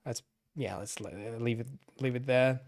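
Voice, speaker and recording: A man's voice: medium pitch, steady tone, moderate pace, a little mellow. A man giving casual livestream commentary in a hesitant way, often repeating himself. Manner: hesitant, repeats himself, frequent throat clearing.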